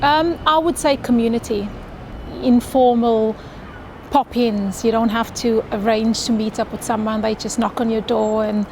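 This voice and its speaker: A woman's voice: a little high, fairly even intonation, a little slow, darkish tone, a little hiss or hum. A woman from South Africa, Afrikaans her first language, with a South African accent, chatting in an interview and laughing easily. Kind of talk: recorded interview answers.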